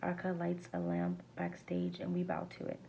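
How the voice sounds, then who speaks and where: Woman reading a book out loud, super gravelly fry, mellow, trips on words a bit. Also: fairly low pitch, big pitch swings. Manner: halting, stumbles over words and rereads. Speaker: amateur reader. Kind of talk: reading a verse novel aloud. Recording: faint background noise.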